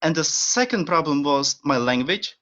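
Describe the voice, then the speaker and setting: A man's voice: fairly high pitch, sing-song, normal speed, a bit bright. Eager Czech-accented young man, lo-fi. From Czech Republic. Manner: eager, with frequent fillers and short laughs. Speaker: podcast guest. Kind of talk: casual interview chat. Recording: dull lo-fi sound.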